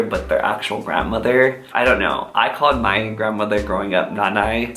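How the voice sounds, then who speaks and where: A man's voice: lowish voice, bright, forceful tone. A young man from Honolulu, telling personal stories to camera in a candid, upbeat way. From Honolulu, Hawaii. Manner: chatty, upbeat and candid, sprinkled with fillers. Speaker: vlogger. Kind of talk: casual personal storytelling to camera. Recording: roomy echo, faint music.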